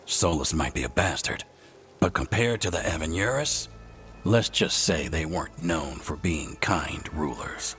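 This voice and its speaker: A man's voice: very deep voice, animated, unhurried, a bit bright, soft background music, exaggerated voice. An actor playing a wry, blunt, battle-worn male elf scout in a video game, with a dry manner. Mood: grim, dry. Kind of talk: video game dialogue acting.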